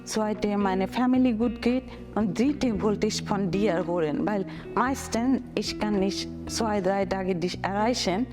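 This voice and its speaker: A woman in her fifties from Bangladesh with a Bengali accent, speaking halting, expressive German in a casual chat with her daughter. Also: medium pitch, over music. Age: 50s. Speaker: guest, mother.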